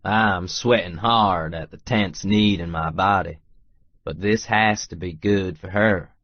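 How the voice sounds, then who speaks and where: Male romance audiobook narrator: low register, slow measured read in short phrases. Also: no room sound, lo-fi sound.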